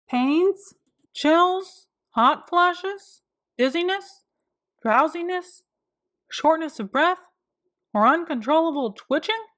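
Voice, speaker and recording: Woman acting out a fussy, deliberate storybook doctor man. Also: sits high, pitched above the performer's own voice. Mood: astonished, probing. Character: fussy, bumbling male doctor, grown man. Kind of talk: children's storybook read-aloud.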